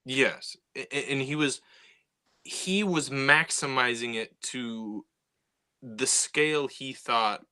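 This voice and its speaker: A man co-hosting a podcast, talking in a casual, animated way and often restarting and repeating words. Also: mid-range voice, slow pace, smooth. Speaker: podcast co-host.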